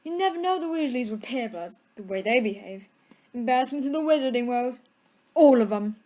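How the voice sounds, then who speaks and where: A woman plays an arrogant young wizard bully at school. The voice is a little high and drips with sneering contempt, in an audio play. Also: animated, fairly quick, a little mellow, natural voice. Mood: scornful. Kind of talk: audio drama acting.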